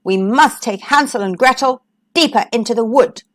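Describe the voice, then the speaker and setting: A woman's voice: on the high side, normal speed, lightly acted. A woman voicing a hard, insistent stepmother from a children's storybook, with a firm, commanding manner. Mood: stern, insistent.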